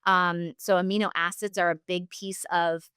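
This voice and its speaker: A woman with a fairly low, smooth and clear voice gives earnest explanations as a guest practitioner in an interview. She is instructive and talks at length, and the sound is close and dry. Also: level tone, moderate pace, sharp tone, no room sound. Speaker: functional health practitioner, podcast guest.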